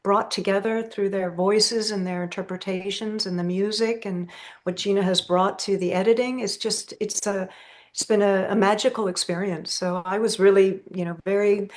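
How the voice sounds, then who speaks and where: A woman with a medium-pitched voice speaks as a guest in a casual interview. Her delivery is earnest and emphatic, halting as she picks out her words. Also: steady tone. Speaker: actress, podcast guest.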